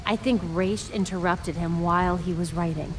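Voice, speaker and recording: A woman's voice: fairly low pitch, fairly even intonation, moderate pace, slight background noise, close to an everyday voice. An actress playing a focused, pragmatic young female vigilante in a game, talking in a businesslike way. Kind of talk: cinematic game dialogue.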